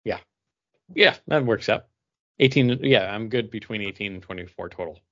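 A man's voice: on the low side, moderate pace. A man co-hosting a casual comic book review, talking emphatically in slangy, repetitive phrases. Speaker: co-host of a comics review show. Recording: dull lo-fi audio.

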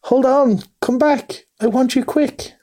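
A man voicing a small, timid male mole who pleads in a high, anxious voice. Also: slow, mellow. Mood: eager.

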